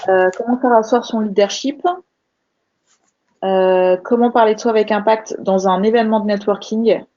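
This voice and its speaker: A woman's voice: medium pitch, fairly even intonation, moderate pace, warm tone. A woman moderating a webinar, reading out audience questions with hesitant fillers. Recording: muffled webinar audio.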